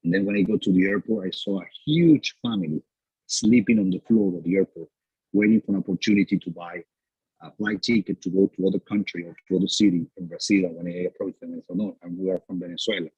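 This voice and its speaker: Deep husky man, halting earnest account. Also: steady tone, no echo, lo-fi sound. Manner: earnest, halting, many fillers and restarts. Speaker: panel guest, exiled former mayor. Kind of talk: personal account at a panel talk.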